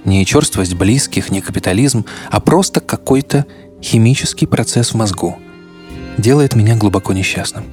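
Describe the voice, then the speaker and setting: A man's voice: fairly low pitch, moderate pace, darkish tone, soft background music. A man hosting a podcast with scripted narration that speaks straight to the listener. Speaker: podcast host.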